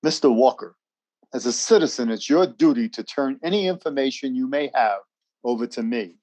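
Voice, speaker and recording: A skeptical, officious military major , acted by a man in an old-time radio drama. He is formal and insistent, with a very lively pitch. The recording is dry. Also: moderate pace, no room sound, lightly acted.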